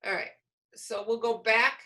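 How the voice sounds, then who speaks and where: Woman, teacher trainer, high pitch, bright tone, explanatory, informal online presentation. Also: steady tone, unhurried. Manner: explanatory, poses questions and answers them. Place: online video meeting. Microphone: video call microphone.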